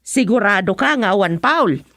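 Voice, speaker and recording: A woman's voice: medium pitch, lively intonation, a little slow, a bit bright, no room sound, studio quality. A woman acting a sceptical village woman in a radio comedy, with an Ilocano accent.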